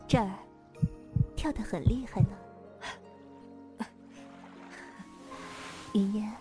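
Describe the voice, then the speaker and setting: Seductive female sect leader voiced by a woman for wuxia radio drama: mid-pitched, forthright, coy, playful, over music. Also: very slow. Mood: flirtatious, wistful. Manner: coy, teasing, flirtatious. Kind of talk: Chinese wuxia audio drama acting.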